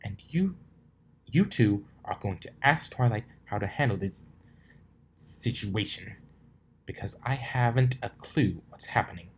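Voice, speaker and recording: A man's voice: on the low side, expressive, measured pace, a little hiss or hum. A man voicing a prim, bossy unicorn mare shop owner, stern but amused, scolding and instructing in an amateur storybook reading.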